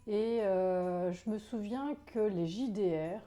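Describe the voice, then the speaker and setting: A woman in her forties with a softened Parisian French accent, a chatty, animated guest in a casual interview who laughs often. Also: medium pitch, slow. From Paris suburbs, France.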